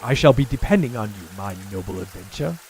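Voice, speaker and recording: A man's voice: on the low side, expressive, a little fast, a little mellow, faint music behind. An actor playing a worried, noble king in a fantasy audio drama, speaking in formal archaic phrases. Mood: solemn.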